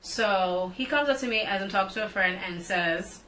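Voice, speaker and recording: A woman's voice: medium pitch, normal speed, a little hiss or hum, low-quality recording. A young woman co-hosting a couple's vlog, telling a storytime casually and animatedly, with lots of "like". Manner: animated, heavy use of "like", rising excitement. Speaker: vlog co-host.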